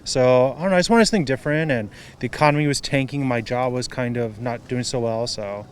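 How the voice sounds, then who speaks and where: A man's voice: mid-range voice, fast, smooth. A man who teaches English, answering street interview questions in a joking, laid-back way. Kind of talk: casual answers.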